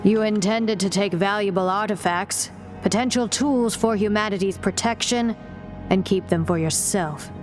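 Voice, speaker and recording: A woman's voice: medium pitch, normal speed, natural voice. Woman acting an icy admiral over music. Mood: cold, disdainful. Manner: formal, icy, clipped. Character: cold, authoritative female admiral. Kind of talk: science fiction audio drama acting.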